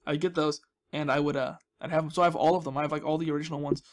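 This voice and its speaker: A man's voice: fairly high pitch, flat delivery, racing. A man hosting a gaming video with casual, rambling commentary, chatty and jokey with a self-deprecating streak.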